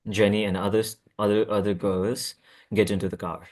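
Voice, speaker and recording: Male stage-direction reader, lower register, halting table-read delivery with repeated words. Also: little pitch movement, a little mellow. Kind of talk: scripted narration at a table read.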